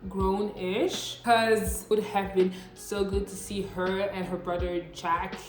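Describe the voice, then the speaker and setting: Brief: late-teen woman, non-native English, upbeat chatty vlogger, unhurried delivery, echoey room, faint music behind. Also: mid-range voice, flat delivery. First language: not English. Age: late teens. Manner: chatty, upbeat, slang-filled. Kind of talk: casual scripted vlog.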